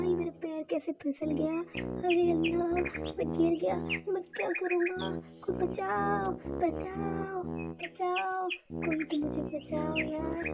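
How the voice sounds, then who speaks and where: Slow, theatrical cartoon camel voiced by a woman. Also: very high-pitched, full character voice. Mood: panicked, pleading. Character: proud, selfish, lazy male camel, later humbled. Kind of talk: children's moral cartoon voice acting. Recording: loud music and birdsong behind.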